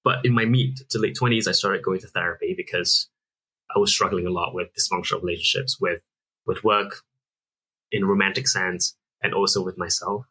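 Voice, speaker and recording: Man, from Singapore, bright forceful tone, breathy edge, earnest, explanatory. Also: low-pitched, fairly even intonation, a little fast, low-quality recording. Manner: earnest, explanatory, with frequent restarts. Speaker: app founder guest. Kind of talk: conversational interview answers.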